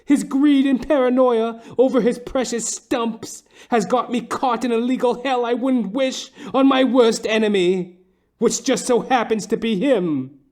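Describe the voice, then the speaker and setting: A man's voice: very high voice, steady tone, measured pace, darkish tone, voice pushed up. A man voicing a desperate, melodramatic wife begging for help in a comedic detective audio play. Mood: desperate pleading.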